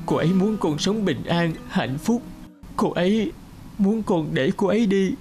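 A man playing a fragile, heartbroken grown son, halting and tender, in a cartoon melodrama. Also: high voice, animated, darkish tone, faint music behind. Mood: fragile relief.

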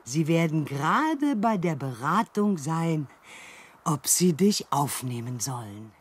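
A fussy old fairy-tale being in a radio play. The voice is husky and wheezy, speaking slowly and swooping up and down with kindly, ponderous care. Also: male voice, fairly high pitch, exaggerated voice. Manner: slow, ponderous, expressive.

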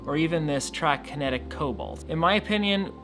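A man's voice: a little high, animated, fairly quick, over music. Chatty man reviewing bowling balls. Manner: chatty, muttering play-by-play between throws. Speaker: pro shop ball reviewer. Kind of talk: casual review while bowling. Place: large bowling alley, indoors.

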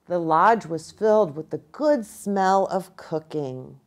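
A middle-aged American woman reading a storybook aloud to children in an expressive, deliberate way, pausing within phrases. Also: on the low side. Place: small indoor room with bookshelf and armchair.

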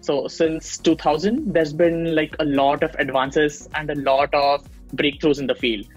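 A man's voice: on the high side, fairly even intonation, measured pace, lo-fi sound, faint music behind. A young man from India, explaining his field on a video call with many fillers and restarts, recorded on earbuds in a small room at home. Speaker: machine learning engineer guest. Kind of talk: casual interview on a video call.

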